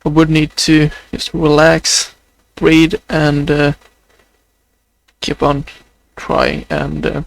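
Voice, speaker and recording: A man's voice: fairly high pitch, monotone, creaky, no echo, low-quality recording. A young man with a Swedish accent talking unscripted in a video diary, hesitant and full of fillers, a voice people say sounds close to crying. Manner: hesitant, many fillers, slow and halting. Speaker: video journal creator.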